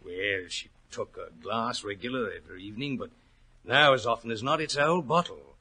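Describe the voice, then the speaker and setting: An actor playing a worried, plain-spoken racehorse trainer in a 1940s radio drama, earnest and respectful, with rustic turns of phrase. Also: male voice, medium pitch, brisk, bright, forceful tone, slightly rough. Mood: troubled, confiding. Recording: old 1940s radio recording.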